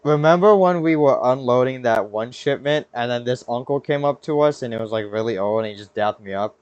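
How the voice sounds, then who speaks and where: A man's voice: medium pitch, a little fast, a little mellow, smooth, no echo, highs cut off. Slangy young American guy chatting casually. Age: young adult. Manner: slangy, rambling, self-interrupting. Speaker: podcast host. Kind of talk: casual chat.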